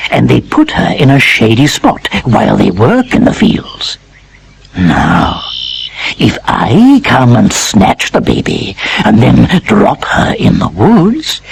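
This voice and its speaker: A man voicing a clever old male wolf in a storybook, gentle and sly, speaking in slow, hushed, scheming tones. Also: on the low side, gravelly, slight background noise, voice pushed down, exaggerated voice.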